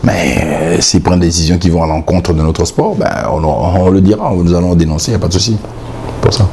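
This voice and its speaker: A man's voice: deep voice, a little fast, mellow, a little hiss or hum. A man with a Congolese French accent giving outspoken, emphatic opinions in a sports interview, often repeating points for stress. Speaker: sports commentator being interviewed.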